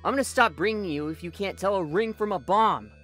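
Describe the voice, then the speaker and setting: A woman's voice: medium pitch, a little fast, fairly bright tone, faint music behind, lightly acted. A woman voicing a cocky, exasperated young male cartoon hedgehog hero with blunt, slangy delivery. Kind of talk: cartoon comic dub acting.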